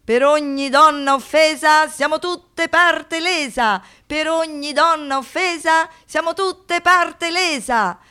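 An actress playing a wounded, defiant young survivor in an intimate radio monologue, confiding and halting, with dramatic pauses. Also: female voice, high-pitched, pushed and bright, exaggerated voice. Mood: militant chanting.

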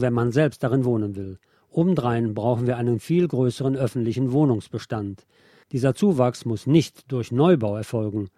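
A man presents a written interview as a radio host, reading it out in scripted narration. His voice is mellow and soft-edged, sitting at an average male pitch. The sound is dry, with very little room on it. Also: no room sound.

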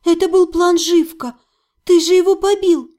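A woman reader acts out a young fantasy heroine begging her fiancé to listen. Her voice is very high yet soft-edged. She is flustered and defensive, a distraught girl in an audiobook. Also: fairly even intonation, moderate pace. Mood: anxious, pleading. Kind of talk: dramatized audiobook dialogue.

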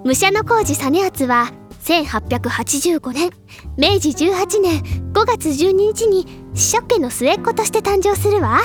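Very high-pitched, bubbly bookish schoolgirl. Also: expressive, unhurried, soft background music. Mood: passionate lecturing. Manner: gushing, lecturing, theatrical. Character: bubbly, stubborn, literature-obsessed high school girl, club senior. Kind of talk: Japanese anime-style audio drama acting.

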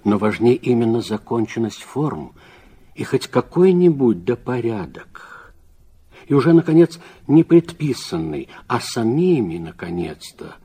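Reflective literary intellectual for radio drama; husky, very unhurried, troubled, rhetorical phrasing. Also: male voice, mid-range voice. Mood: grave musing, then impassioned. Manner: deliberate, rhetorical, emphatic swells and hushed drops. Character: reflective, troubled man of letters reading his critical reply.

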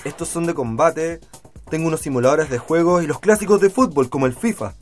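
An actor playing a casual teenage son who loves games and hip hop, slangy and offhand, with a Chilean accent, in a radio drama. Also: male voice, fairly high pitch, brisk, faint music behind, close to an everyday voice. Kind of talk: scripted radio drama acting.

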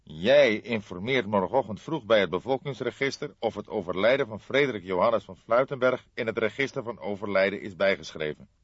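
A man's voice: fairly low pitch, animated, brisk, slightly rough, dry recording, dull audio. Man playing an authoritative, emphatic old detective. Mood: commanding, emphatic. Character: grey-haired, authoritative, drily witty old male police detective.

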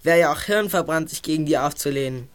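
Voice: mid-range voice, fast, lightly acted. An actor playing an evasive, conformist teenage schoolboy in a radio play. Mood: evasive, resigned.

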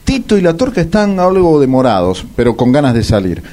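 A man's voice: fairly high pitch, very animated, fairly quick, dark tone. A man giving wry, playful scripted narration.

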